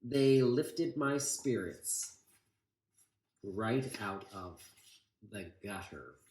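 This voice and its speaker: A man reading a rhyming children's story aloud expressively, with deliberate emphasis on phrases. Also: lowish voice, expressive, a little mellow. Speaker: volunteer storybook reader. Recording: echoey room.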